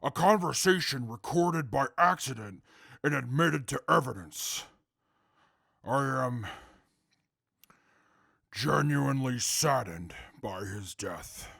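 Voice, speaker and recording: An actor playing a calm, articulate male super mutant who testifies in court in a formal, measured way with a gravelly voice. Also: mid-range voice, no room sound, clean studio sound, exaggerated voice. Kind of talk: audio drama acting.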